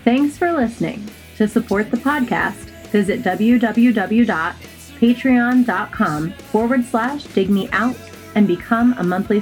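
A woman with a mid-pitched voice delivers a scripted podcast announcement. Faint music plays behind her. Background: music under the voice.

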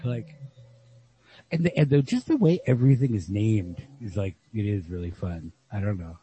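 A man with a husky voice thinking aloud in a casual, rambling literary discussion as a podcast co-host. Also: fairly low pitch, lively intonation, normal speed, mellow, a little hiss or hum. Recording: lo-fi podcast audio.

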